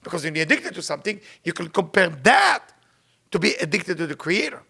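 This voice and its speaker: A man's voice: on the high side, very animated, creaky, bright, forceful tone, breathy edge. A man with an Israeli accent giving an informal spiritual lesson, telling stories and repeating phrases for emphasis, racing through passages when he reads them aloud. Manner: rapid recitation when reading Hebrew text. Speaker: Kabbalah teacher. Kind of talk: informal spoken teaching with storytelling.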